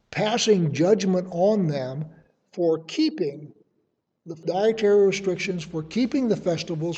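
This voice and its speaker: A man teaching a verse-by-verse Bible study, reasoning aloud in a measured way and offering his readings as suggestions. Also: sits high, mellow, long reverb tail, dull audio.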